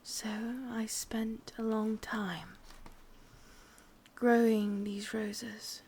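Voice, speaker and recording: A woman with an English accent playing a sadistic, possessive, vain witch in a breathy, whispered ASMR roleplay, intimate and menacing. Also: medium pitch, steady tone, extremely slow, a little mellow, full character voice. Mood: possessive, wistful.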